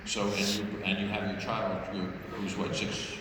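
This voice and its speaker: Deep-voiced male host in an echoey hall. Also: little pitch movement. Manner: halting, with many restarts. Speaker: host and moderator. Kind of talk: onstage post-performance interview. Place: large opera house auditorium. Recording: echoey hall from far off with noise.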